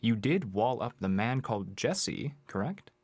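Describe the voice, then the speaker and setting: An actor playing a mysterious, ominous interrogator in a radio drama, gravelly and husky, questioning with deliberate insistence. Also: male voice, medium pitch, sing-song, a little mellow, dry recording. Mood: probing. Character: ominous, probing male interrogator, mysterious and controlled.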